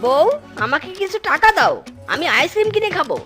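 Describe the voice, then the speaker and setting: A woman voicing a short, whiny, scheming cartoon husband, childish and excitable, full of pleading exclamations. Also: high-pitched, brisk. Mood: whining, pleading. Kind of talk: animated children's cartoon dialogue. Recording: loud music behind the voice. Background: music.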